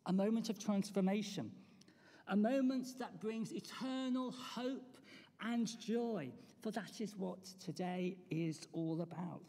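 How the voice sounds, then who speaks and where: A slow, unhurried preacher delivers an Easter sermon, pausing to ask the congregation rhetorical questions. The voice is dark and mellow, with a gravelly edge. A long church reverb trails after each phrase. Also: medium pitch.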